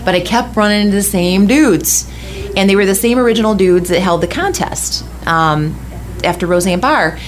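A middle-aged woman from West Michigan talks in a loose, chatty way, stopping to laugh and restarting her sentences as she tells the story. Her pitch sits in the middle of the usual range. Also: moderate pace, slight background noise. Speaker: radio host telling a story. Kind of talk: casual chat.

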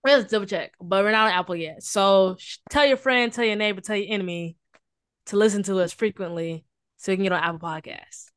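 A woman's voice: mid-range voice, a little fast, clear, resonant tone, dry recording, studio quality. A young Southern woman from Houston, co-hosting a casual podcast in a playful, teasing way. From Houston, Texas. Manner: playful, teasing, hypes up her co-host. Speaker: podcast co-host.

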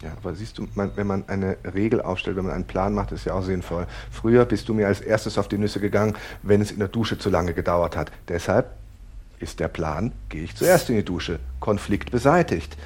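Pedantic, low-voiced husband, exasperated. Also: male voice, a little mellow, slightly rough. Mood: pedantic, aggrieved. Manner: pedantic, halting, insistent. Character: rigid, plan-obsessed husband in couples therapy, exasperated. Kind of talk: naturalistic improvised-sounding audio drama dialogue.

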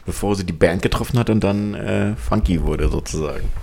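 Man, lowish voice, podcast co-host, informal chat. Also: a little fast, creaky, darkish tone, a little hiss or hum. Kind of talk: casual chat.

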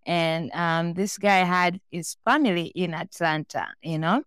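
A woman's voice: fairly low pitch, unhurried, fairly bright tone, clear, resonant tone, no room sound, clean studio sound. A woman from Tanzania talking casually and candidly as a podcast guest, telling personal stories. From born in Tanzania, raised in several countries.

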